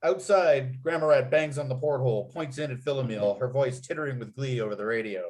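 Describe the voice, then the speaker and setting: A man's voice: medium pitch, brisk, a little mellow. A man narrating a comedy screenplay at a table read, reading out scene headings and action with punchy delivery.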